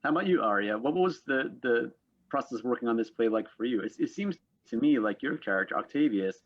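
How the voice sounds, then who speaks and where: A man moderates a panel discussion in a wordy, halting manner, circling back over his phrases. His mid-pitched voice comes through close and dry, on slightly dull call audio. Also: expressive, no room sound. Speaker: panel host.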